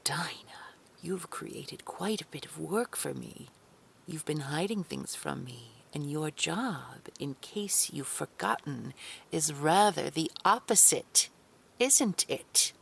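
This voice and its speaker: Woman acting as haughty girlboss, sugary threats, gravelly fry, unhurried delivery, patronising, breathy near-whisper, audio drama. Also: sits low, expressive, fairly bright tone, slight background noise, exaggerated voice. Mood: cold, threatening. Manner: patronising endearments, slow controlled menace, often breathy near-whisper. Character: haughty, menacing female boss, sugary and condescending.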